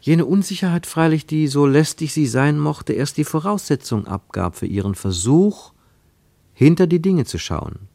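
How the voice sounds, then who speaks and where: A man narrating a literary radio play in a reflective, rhetorical manner with deliberate emphasis. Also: on the high side, expressive, gravelly, dark tone, no echo, studio quality. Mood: reflective, questioning. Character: reflective literary narrator of a postwar travel story.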